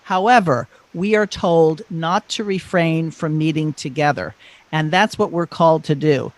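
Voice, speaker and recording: Male discussion host. Fairly high pitch, deliberate phrasing, no room sound. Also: dry recording, poor audio. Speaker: podcast host. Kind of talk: measured religious discussion.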